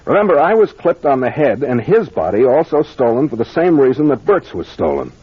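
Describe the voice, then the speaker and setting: A confident police captain from a vintage radio mystery. His delivery is brisk and assured, rising and falling freely, with a husky edge. The recording is dull and old. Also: medium pitch, big pitch swings, dry recording.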